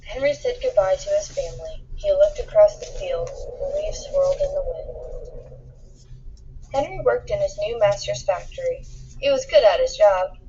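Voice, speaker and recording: A woman reading a children's picture book aloud in an expressive storytelling style, acting out the dialogue with emphasis. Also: on the high side, moderate pace, dull audio, a little hiss or hum. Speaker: storybook reader.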